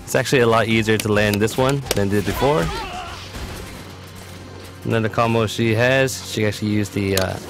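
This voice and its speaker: Low-voiced man giving game tutorial over music. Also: moderate pace, pauses often. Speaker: competitive gamer presenting a character guide. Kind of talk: explanatory video game tutorial commentary.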